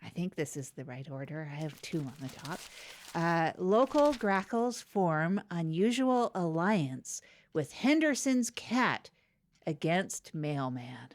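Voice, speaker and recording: A woman playing an earnest, chatty small-town co-host of a local news show, speaking in a halting, literal way. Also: fairly low pitch, lively intonation. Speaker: co-host of a comedy news podcast. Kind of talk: improvised mock local public-access news chat.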